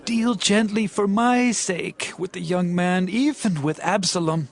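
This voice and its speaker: A man playing a solemn, tender-hearted king in a dramatized audio Bible, with measured, stately delivery. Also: sits high, creaky. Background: music.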